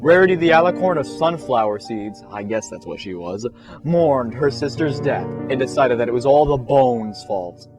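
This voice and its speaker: A man's voice: mid-range voice, lively intonation, normal speed. A man narrating comic fan fiction in a mock-dramatic way, dropping in casual asides. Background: music.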